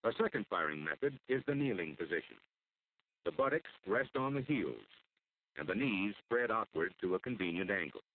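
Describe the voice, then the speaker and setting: An American man delivers formal, measured scripted narration for an instructional military training film. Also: deep voice, big pitch swings. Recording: muffled phone-like old film soundtrack.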